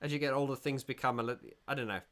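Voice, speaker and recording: A man's voice: medium pitch, steady tone, racing, fairly bright tone. A middle-aged man from South Africa hosting a casual livestream, reading viewer comments aloud and rambling with frequent restarts. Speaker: livestream host.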